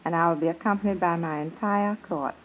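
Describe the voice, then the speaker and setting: A woman's voice: fairly low pitch, fast talker, a little hiss or hum. An American woman giving formal, gracious answers as a guest in a 1930s radio interview. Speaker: pageant queen being interviewed. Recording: phone-like 1930s radio recording.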